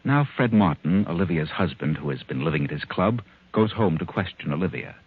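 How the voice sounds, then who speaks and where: Low, husky tempter in a vintage radio thriller, taunting and theatrical, muffled old broadcast sound. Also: male voice, animated, exaggerated voice. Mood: insinuating, calculating. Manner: insinuating, deliberate, theatrical. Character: taunting male inner voice goading a murder planner. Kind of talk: 1940s radio thriller acting.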